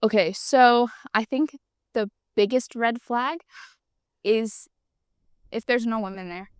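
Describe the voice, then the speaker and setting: A woman's voice: on the high side, takes it slowly, clear, resonant tone, dry recording, studio quality. A woman from Massachusetts co-hosting a casual podcast, talking with frequent hedges and hesitations. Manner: hesitant, hedging. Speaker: podcast co-host. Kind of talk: casual chat.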